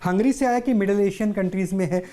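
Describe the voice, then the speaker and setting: A woman's voice: medium pitch, quick pace, warm tone. A woman interviewing on the news, explaining and asking questions off the cuff in a wordy, repetitive way. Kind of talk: unscripted on-air questioning and explaining.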